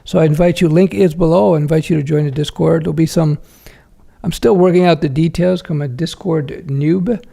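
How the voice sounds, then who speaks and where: A man's voice: fairly high pitch, steady tone, a little fast, vocal fry, dark tone. A middle-aged man hosting a casual livestream Q&A, reading viewer questions aloud and answering frankly with lots of fillers and restarts. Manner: frank, chatty, many fillers and self-corrections.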